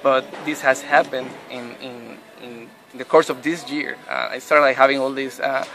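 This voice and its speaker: A man in his thirties from Puerto Rico, telling his faith story in an interview with many pauses and restarts. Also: mid-range voice, little pitch movement, bright, forceful tone, slight background noise, poor audio. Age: 30s. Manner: hesitant, many restarts and fillers. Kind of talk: personal testimony interview.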